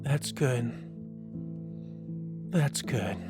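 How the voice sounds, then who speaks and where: A man acts out an old, fatherly mouse at the end of his life in an audio drama. He speaks slowly and tenderly, worn out, over prominent music. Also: medium pitch. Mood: weary, tender. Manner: slow, weary, tender. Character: dying old male mouse, gentle and fatherly. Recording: loud music under the voice.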